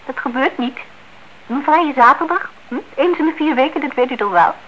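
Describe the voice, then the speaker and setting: Sensible niece, fairly high voice. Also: female voice, normal speed, fairly bright tone. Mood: brisk. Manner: soothing, practical, polite. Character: sensible, patient niece, a working woman. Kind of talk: Dutch radio play acting. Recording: dull, hissy old radio recording.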